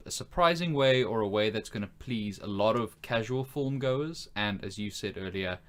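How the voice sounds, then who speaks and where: A man's voice: fairly low pitch, animated, normal speed, fairly bright tone. A man co-hosting a podcast in casual conversation, thinking aloud and hedging as he builds his point. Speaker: podcast co-host. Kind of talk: casual chat about films and games.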